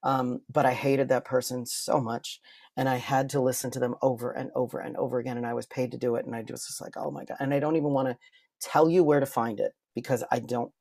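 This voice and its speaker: Casting: man, medium pitch, podcast guest talking shop informally, explanatory, dry sound. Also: level tone, fast talker, gravelly, darkish tone, no room sound. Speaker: guest, voice impressionist and performer. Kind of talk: casual podcast interview chat.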